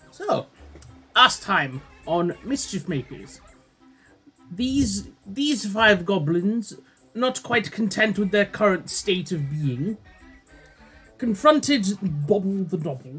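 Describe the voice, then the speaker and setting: A woman's voice: fairly low pitch, fairly bright tone, breathy edge, low-quality recording. A woman running a tabletop game as game master, narrating in a playful, theatrical way.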